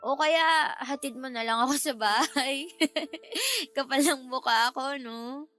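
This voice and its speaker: An actress playing a feisty young Filipina in love in a radio drama, expressive and colloquial with a Filipino accent. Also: female voice, high-pitched, unhurried, soft background music, heavily acted. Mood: tipsy, pleading.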